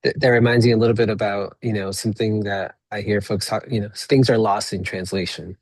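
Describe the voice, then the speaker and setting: A man from Peru whose first language is Spanish, hosting a podcast interview. He talks in a reflective, halting way, restarting phrases and paraphrasing his guest back to her. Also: on the low side, fairly even intonation, no echo. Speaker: podcast host. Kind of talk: conversational interview.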